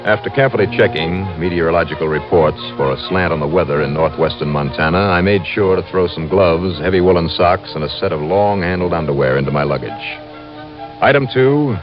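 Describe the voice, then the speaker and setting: A man acting as a wry, brisk insurance investigator in a 1950s American radio drama, with clipped, punchy delivery. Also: low voice. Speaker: lead actor and narrator. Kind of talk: 1950s radio detective acting, first-person narration. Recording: muffled old radio recording with music.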